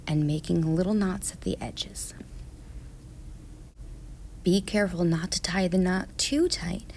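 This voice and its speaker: Female craft narrator, unhurried and measured, with vocal fry and faint noise behind her, explaining steps to children. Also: on the low side, darkish tone. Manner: measured, step-by-step. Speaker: craft instructor. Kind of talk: scripted instructional narration for children.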